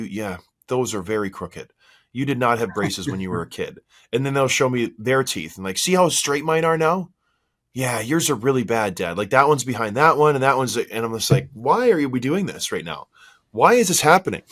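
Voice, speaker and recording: A man in his forties hosting a hockey podcast, slightly hoarse, telling self-mocking stories in a casual, animated way. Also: mid-range voice. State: a little hoarse. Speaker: podcast host.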